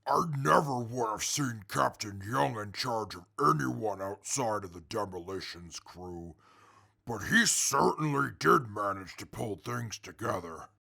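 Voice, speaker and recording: Gravelly, slow-spoken proud mutant brute. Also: male voice, on the low side, expressive, bright, forceful tone, exaggerated voice. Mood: wry reminiscing. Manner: stiff formal phrasing, menacing, indignant. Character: proud, irritable male super mutant warrior in captivity. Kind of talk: post-apocalyptic audio drama acting.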